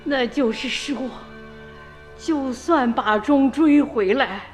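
A woman's voice: high voice, slow pace, faint music behind. An actress playing a middle-aged village mother in a radio drama, protective, evasive and indignant, curt in manner. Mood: shocked, indignant. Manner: curt, challenging.

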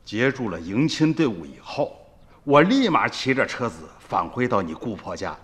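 A man's voice: medium pitch, big pitch swings, moderate pace, a bit bright. An actor playing an old farmer grandfather who recounts his past as a reflective storyteller in a radio drama. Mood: animated recollection. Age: older. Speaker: narrator telling the story in recollection.

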